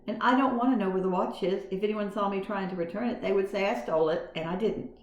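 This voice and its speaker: A woman's voice: medium pitch, fast talker, muffled recording, natural voice. A woman voicing a young boy who is wary and stubborn, blunt and easily startled. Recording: roomy echo.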